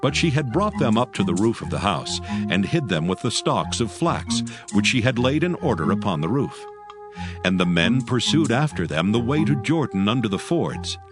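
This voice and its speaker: Scripture narrator, man: lower pitch, expressive intonation, measured and formal, music bed.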